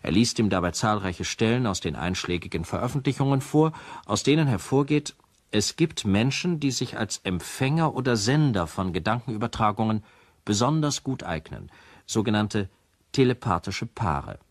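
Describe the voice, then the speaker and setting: A man's voice: on the low side, lively intonation, moderate pace, dry recording. An actor playing a sober narrator in a radio play, a man reporting formally and matter-of-factly as in a documentary. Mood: sober, factual. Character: sober male narrator presenting a recorded conversation as evidence.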